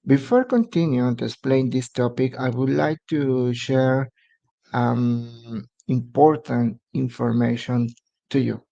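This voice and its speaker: A man from Mexico, a native Spanish speaker with a Mexican accent, explaining immigration steps on a live stream in halting English full of fillers. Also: mid-range voice, gravelly, dark tone, muffled recording. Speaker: immigration advisor.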